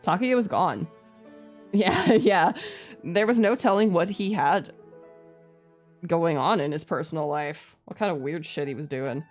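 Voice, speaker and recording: Low-pitched woman streaming a visual novel. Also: unhurried, long pauses. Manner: casual reacting asides, sweary. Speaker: VTuber game streamer. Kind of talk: let's play, reading visual novel text aloud with casual commentary. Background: background music.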